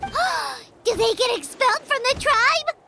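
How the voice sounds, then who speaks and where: A woman voicing a small, childlike, caring fairy companion in a video game, with a squeaky, expressive delivery. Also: takes it slowly, full character voice. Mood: worried. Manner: speaks of herself in third person, expressive. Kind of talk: video game character voice acting. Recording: music playing behind the voice.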